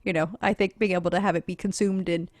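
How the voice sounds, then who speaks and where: A woman's voice: on the low side, fairly even intonation, quick pace, darkish tone, dry recording. Chatty, wry woman on a dry mic. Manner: chatty, wry, laughs often. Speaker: podcaster and panelist. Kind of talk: casual panel chat.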